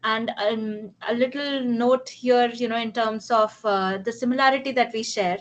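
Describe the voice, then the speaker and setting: A woman with an Indian accent hosts an interview in a conversational style. Her voice is fairly high-pitched. The recording sounds a bit dull and lo-fi. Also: fairly even intonation, normal speed. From Ranchi, India. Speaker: interviewer, host. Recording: dull, lo-fi audio.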